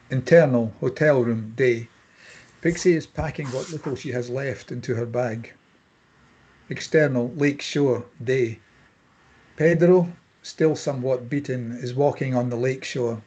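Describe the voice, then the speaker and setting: A man with a rough-edged, husky voice reads a screenplay aloud as narrator. His pitch is average, and he delivers scene headings and action lines as scripted narration. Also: a little slow, darkish tone, lo-fi sound. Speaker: narrator reading stage directions.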